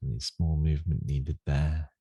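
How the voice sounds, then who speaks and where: A man leading a guided meditation in a gentle, unhurried, soothing manner with long pauses. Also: rumbling low, husky, dry recording, studio quality, soft background music. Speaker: meditation teacher.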